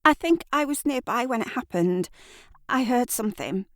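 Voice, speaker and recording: A woman voicing a small, shaken, defensive woman in an audio drama, strained, halting and pleading. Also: a little high, animated, fairly bright tone, dry recording, studio quality, voice pushed up. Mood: beseeching.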